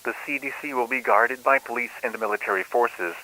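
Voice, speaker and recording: A man's voice: medium pitch, brisk, poor audio. A man playing a grim government emergency announcer, reading scripted warnings in a stern, official way over a radio. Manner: stern, flat, official delivery.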